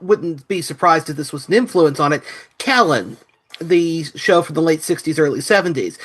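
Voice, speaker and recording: A man's voice: a little high, fairly bright tone. A man speaking as a podcast panelist, explaining references in a casual, detailed, analytical way. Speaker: podcast panelist reviewing audio drama.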